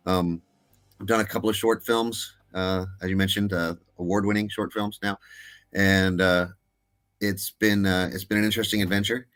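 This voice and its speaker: A middle-aged man talking casually as an interview guest, pausing often with fillers and restarts. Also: low-pitched, fairly even intonation, fairly bright tone. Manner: hesitant, with many uh fillers and restarts. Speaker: guest filmmaker.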